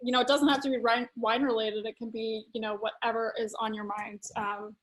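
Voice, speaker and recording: A woman's voice: a little high, little pitch movement, brisk, a bit bright, low-quality recording. Chatty woman hosting a tasting. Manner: friendly, chatty, with fillers. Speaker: host.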